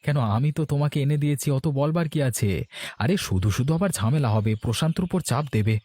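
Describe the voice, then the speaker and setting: Fast-talking sensible cartoon husband, acted by a man. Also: fairly high pitch, expressive, mellow, no echo. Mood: scolding. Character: sensible, weary working husband, mildly scolding. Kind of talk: Bengali cartoon story dialogue.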